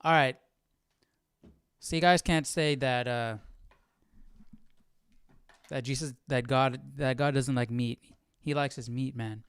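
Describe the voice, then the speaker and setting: Clear-voiced casual man thinking aloud. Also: mid-range voice, fairly even intonation, slow pace, pauses often, fairly bright tone, no echo, studio quality. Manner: informal, slangy, thinking aloud, questioning. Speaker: live-stream host. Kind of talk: casual study-along chat and reading aloud.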